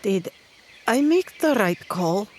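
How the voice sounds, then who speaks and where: A woman's voice: medium pitch, big pitch swings, takes it slowly, a little mellow, a little hiss or hum. A woman playing a determined, fiercely loyal young female adventurer in tabletop roleplay, speaking earnestly and emphatically. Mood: troubled, confiding.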